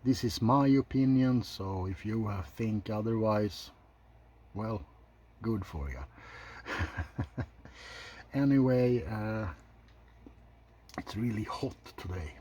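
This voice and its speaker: A man's voice: fairly low pitch, expressive, takes it slowly, long pauses, mellow, breathy edge, dull audio. A man hosting a casual ASMR video, giving blunt opinions in an informal commentary. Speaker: video host.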